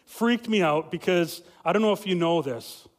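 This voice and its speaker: A young adult man, a family pastor, preaching a loud, lively sermon with many fillers and restarts. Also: sits high, moderate pace. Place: church sanctuary. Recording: reverberant church hall.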